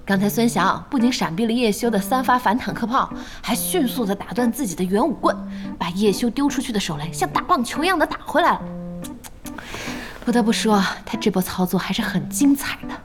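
Actress doing a young gamer for a radio play, eager explanations, bright lively voice, music underneath. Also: female voice, a little high, a little fast, lightly acted. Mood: impressed. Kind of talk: studio radio drama acting. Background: background music.

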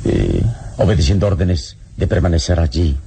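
An actor playing a calm, protective adventure hero in a radio serial, speaking in a measured, soothing, deliberate way. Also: male voice, sits low, vocal fry, a little hiss or hum. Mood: pensive. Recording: vintage radio drama, music behind.

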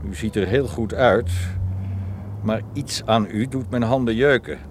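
A man's voice: medium pitch, unhurried, close to an everyday voice. An actor playing a huge, menacing ex-boxer club owner in a radio play, wordy and mock-courteous in his threats. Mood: boastful threat. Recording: noisy radio play recording.

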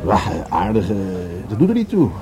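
An actor playing a grumbling police adjutant in a Dutch radio drama, halting and deferential to his superior. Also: lowish voice, huge pitch swings, a little mellow. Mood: grumbling, hesitant.

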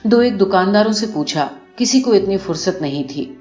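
A woman reading a short story aloud in an expressive, scripted narration style. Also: mid-range voice. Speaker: audiobook narrator. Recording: muffled, roomy recording with music behind. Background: music.

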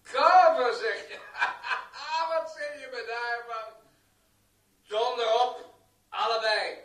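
An actor playing a rich, bullying businessman villain in a 1970s radio play, giving gruff commands and scornful insults. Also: very high-pitched, takes it slowly, a bit bright, exaggerated voice. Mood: scornful, roaring.

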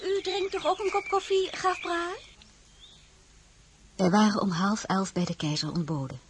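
An actress playing a gracious royal wife and mother in a radio drama, poised and gently wry. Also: mid-range voice, measured pace, husky. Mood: composed. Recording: background noise with outdoor birdsong.

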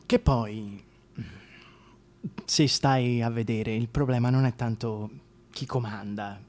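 A man's voice: mid-range voice, fairly even intonation, slow pace, darkish tone, close to an everyday voice. An actor playing a scheming, sadistic dictator king in a theatrical radio monologue, crude, mocking and brooding, addressing the listener directly. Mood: brooding menace.

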